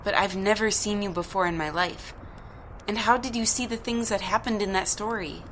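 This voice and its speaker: Woman playing a bright-voiced, skeptical, bewildered mother. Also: mid-range voice, steady tone, normal speed, slight background noise, lightly acted, low-quality recording. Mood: bewildered, doubtful. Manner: hesitant, incredulous. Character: skeptical, bewildered mother who makes dolls. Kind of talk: audio drama dialogue.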